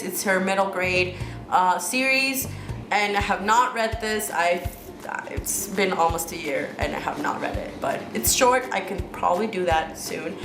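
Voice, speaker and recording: A young woman from Mexico whose first language is Spanish, rambling chattily through a casual book haul vlog with frequent restarts. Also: medium pitch. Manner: chatty, rambling, many restarts and repeated words. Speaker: book vlogger. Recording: roomy sound with music underneath.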